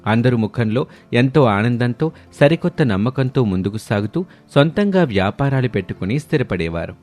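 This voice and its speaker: A man's voice: mid-range voice, animated, moderate pace, a little mellow, soft background music. A man narrating a scripted moral story in the manner of a storyteller.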